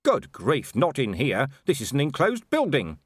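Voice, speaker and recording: Dogged male cop commander, acted by a man: blunt, defiant, animated, dry fantasy audiobook sound. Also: mid-range voice, normal speed, slightly rough, no room sound, pitched above the performer's own voice.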